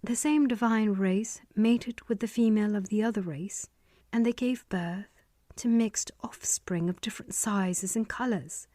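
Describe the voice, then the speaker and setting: A woman reading a spiritual text aloud in a soft, often half-whispered delivery. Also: mid-range voice, a little slow, no echo, studio quality. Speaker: reader.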